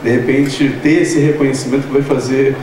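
A man gives an earnest, slightly halting speech at an event into a handheld microphone. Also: mid-range voice, flat delivery, darkish tone, slight background noise. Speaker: industry union president. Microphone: handheld mic, heard from far. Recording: echoey hall, distant.

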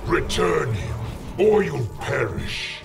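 A brutal video game warlord, imperious and menacing. The delivery is slow and gravelly, with big swings in pitch, and music plays behind it. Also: male voice, sing-song, recorded from a distance, exaggerated voice. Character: brutal, arrogant male warlord emperor. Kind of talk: fighting game dialogue.

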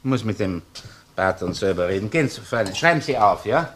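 An actor playing a sceptical, probing police investigator in a crime radio play, speaking colloquial Viennese German with an insistent, questioning manner. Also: male voice, on the low side, animated, a little fast, fairly bright tone, husky. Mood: businesslike. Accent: Viennese Austrian. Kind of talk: German-language crime radio drama acting. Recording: noisy old radio play recording.

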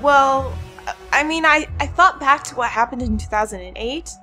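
A woman's voice: high voice, moderate pace, bright, forceful tone, faint music behind. A woman playing a sceptical, probing young hacker in an audio drama, questioning and insistent. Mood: uneasy.

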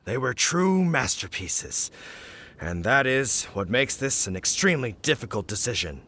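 A man playing a hearty village hunter in a video game, speaking in a grandiose, deliberate and dramatic way. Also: mid-range voice, very animated, sharp tone, slight background noise. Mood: grand, solemn. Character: hearty village hunter acting as solemn contest judge. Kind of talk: video game character acting.

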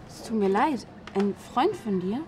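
A woman's voice: mid-range voice, expressive, unhurried, darkish tone, faint music behind, lightly acted. A young woman playing a confident, teasing newcomer in film dialogue, with a playful, forward manner. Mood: curious. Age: young adult. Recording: clearly noisy location background.

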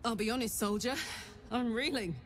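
A woman's voice: on the high side, fairly even intonation, normal speed, slight background noise, close to an everyday voice. A woman playing a wry young tiefling who reflects on being saved. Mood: reflective.

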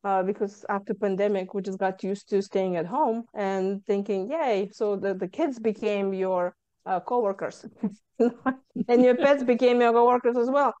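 A woman's voice: medium pitch, normal speed, mellow, smooth, no echo, highs cut off. A young woman from Lithuania with a Lithuanian accent, a stress management coach speaking as a guest over a video call in an earnest, explaining way. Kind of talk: casual interview chat. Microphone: video call webcam.

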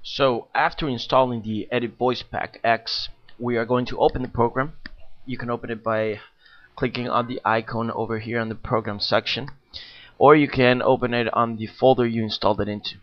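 Man explaining software, dull lo-fi audio. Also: mid-range voice, steady tone, normal speed. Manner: plain explanatory, frequent uh fillers. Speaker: software tutorial narrator. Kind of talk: screen-recorded software walkthrough.

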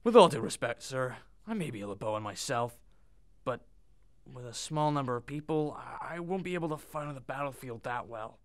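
An actor playing a brooding, weary werewolf warrior from space in a science-fiction audio drama, terse and grumbling. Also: male voice, medium pitch, expressive, normal speed, natural voice. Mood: impatient. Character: brooding battle-worn alien werewolf warrior, defiant and weary.

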